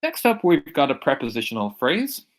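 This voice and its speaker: A man from Ireland with an American-sounding accent teaching English vocabulary, repeating each word slowly and clearly for learners. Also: mid-range voice, huge pitch swings. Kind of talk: recorded vocabulary lesson.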